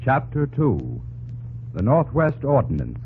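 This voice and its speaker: A man's voice: medium pitch, huge pitch swings, slow pace, very noisy. A man narrating an old-time radio drama in a solemn, declamatory style. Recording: muffled old radio recording, loud music behind.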